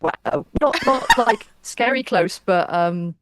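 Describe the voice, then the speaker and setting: A woman interviewer in casual, chatty talk, halting at times and acting out reactions as she tells things. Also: mid-range voice, a loud crowd. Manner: animated, halting, acts out quoted reactions.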